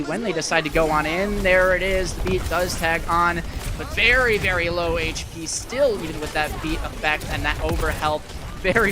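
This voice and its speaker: A man giving live play-by-play commentary on an esports match, with a playful, wry way of talking and plenty of quips. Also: a little high.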